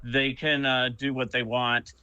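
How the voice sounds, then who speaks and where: A man's voice: medium pitch, little pitch movement, measured pace, sharp tone, highs cut off. A man from Canada, a podcast guest, chatting casually and hesitantly with many ums and uhs. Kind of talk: casual chat.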